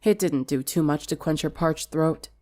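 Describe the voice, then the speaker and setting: A woman narrating a story dramatically in an expressive, theatrical way. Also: low voice, moderate pace. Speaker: fan fiction reader.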